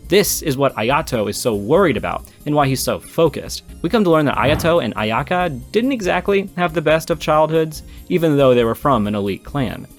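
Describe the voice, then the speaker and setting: A man's voice: on the high side, expressive, moderate pace, hardly any pauses. Irreverent man narrating over music. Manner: irreverent, profane, jokey. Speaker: video game story analyst. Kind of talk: scripted video essay narration with casual asides. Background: background music.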